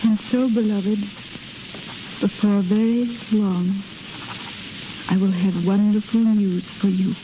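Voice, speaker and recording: A woman's voice: mid-range voice, steady tone, slow pace, long pauses, close to an everyday voice. Woman acting lonely wistful young wife, earnest old radio play, muffled sound. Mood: tender, weary. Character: lonely, anxious expectant young wife, tender and fragile. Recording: muffled, hissy 1930s radio recording.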